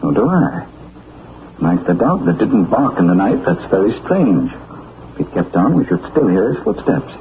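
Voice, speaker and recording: An actor playing a refined gentleman detective in a 1940s radio drama, with clipped, polished diction. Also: sits low, big pitch swings, moderate pace. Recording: scratchy, tinny 1940s radio broadcast.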